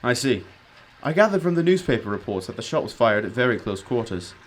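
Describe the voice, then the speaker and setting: A man's voice: mid-range voice, big pitch swings, a little fast, lightly acted. A man playing a cool, exacting detective in an amateur radio drama, speaking in a formal, probing manner. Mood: composed, inquiring.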